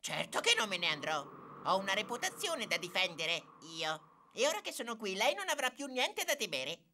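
A woman's voice: mid-range voice, big pitch swings, normal speed, background noise. A woman voicing a proud, cocky male cyborg cat in a cartoon dub, self-assured and commanding. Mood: confident. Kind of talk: Italian cartoon dub acting.

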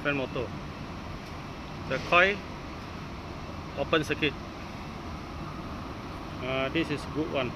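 Man, young adult, clipped phrases with fillers, raised pitch, outdoors, heavy machine noise. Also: steady tone, slow, long pauses. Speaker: air conditioning technician. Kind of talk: hands-on repair demonstration. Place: outdoors beside air conditioner units.

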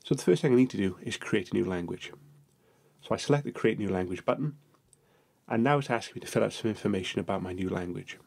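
Male tutorial narrator, lowish gravelly voice, a bit husky, steady step-by-step delivery. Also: fast.

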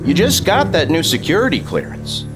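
Stern FBI boss, lively intonation. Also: male voice, on the high side, normal speed, over music. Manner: authoritative. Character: stern, bossy male FBI assistant director. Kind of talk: 1950s radio drama acting.

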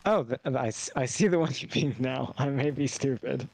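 A man's voice: mid-range voice, moderate pace, creaky, a little mellow. Rambling young man on a gaming stream. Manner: rambling, self-deprecating, hesitant restarts. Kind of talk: casual gaming stream chat.

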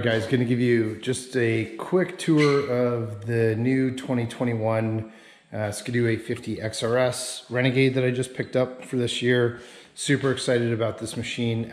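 A man giving a casual, enthusiastic vlog tour in a garage, pausing often as he finds his words. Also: on the low side, a little mellow. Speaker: snowmobile vlogger. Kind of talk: casual walkaround tour to camera. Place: residential garage with concrete floor.

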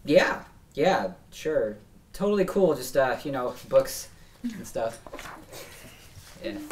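A young American man playing a nervous, rambling small-time parts buyer at a script read, talking in halting slang. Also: mid-range voice, big pitch swings, a little hiss or hum. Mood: nervously evasive. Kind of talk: table read of a film script. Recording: distant mic in a room.

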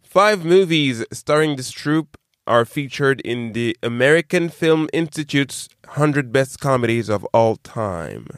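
A man's voice: mid-range voice, expressive, smooth, no room sound. Man reading trivia questions, dry sound. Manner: reads questions slowly and deliberately, then chats loosely. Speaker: quizmaster. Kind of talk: reading trivia questions, casual podcast chat.

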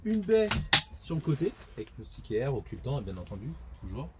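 A man's voice: medium pitch, very animated, a little fast, over loud music. A man, giving a casual product walkthrough inside a small camper van. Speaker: camper van dealer presenting a vehicle.

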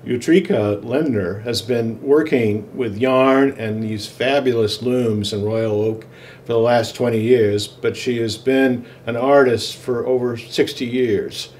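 An older man hosting, reading a measured scripted introduction in a studio. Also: medium pitch, fairly even intonation, a little hiss or hum. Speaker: host. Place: studio in front of a backdrop. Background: soft guitar music.

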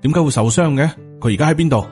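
A man's voice: on the high side, very fast, a little mellow, lightly acted. Proud, easily outraged male Taoist master played by a male narrator, Hong Kong Cantonese accent, audiobook story. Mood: demanding. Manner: indignant, confrontational. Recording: music under the voice.

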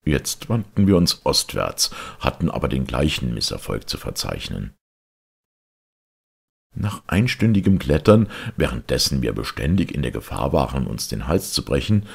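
A man reading a literary audiobook as a narrator, with measured, dramatic storytelling delivery. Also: very deep voice, vocal fry, slightly rough. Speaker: audiobook reader.

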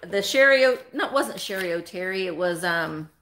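A woman's voice: lowish voice, lively intonation, moderate pace, fairly bright tone. A woman in her forties hosting a live vintage sale from a small room, chatting animatedly at her webcam and greeting viewers by name. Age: 40s. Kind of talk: chatty live selling stream. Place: small indoor room full of vintage decor. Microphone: webcam at desk, close framing.

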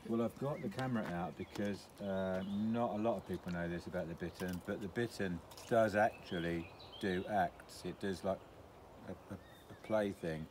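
An older man from England telling deadpan tall tales while chatting with a friend by a lake. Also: deep voice, moderate pace, a little hiss or hum. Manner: deadpan, spins made-up facts. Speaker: friend of the vlogger. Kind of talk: casual banter. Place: outdoors by a lake. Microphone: camera a few metres off.

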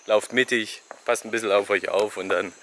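A man's voice: lowish voice, moderate pace, a little hiss or hum. A young man vlogging while he walks through a rainforest park, filming himself at arm's length and casually giving travel tips. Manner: relaxed, chatty, giving tips. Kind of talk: casual walking vlog commentary. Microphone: selfie camera at arm's length.

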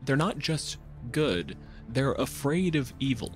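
A man's voice: mid-range voice, sing-song, takes it slowly, faint music behind. A man hosting a casual podcast in a sardonic, grumbling, exasperated manner. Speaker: podcast host.

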